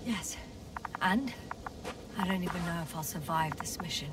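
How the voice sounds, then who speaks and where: An actress playing a thoughtful, worried woman scientist exploring space, speaking in a hushed, measured, earnest way in a video game. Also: lowish voice, animated, recorded from a distance, noisy background, close to an everyday voice. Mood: sad, worried. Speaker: crew scientist. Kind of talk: dramatic game voice acting.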